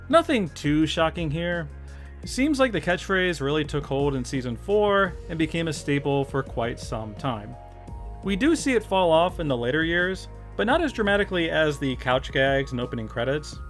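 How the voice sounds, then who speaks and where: A man's voice: on the high side, a bit bright. A man hosting a video essay, narrating in a casual, jokey, emphatic way with mock exasperation. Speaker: video host, YouTuber. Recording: music behind the voice. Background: background music.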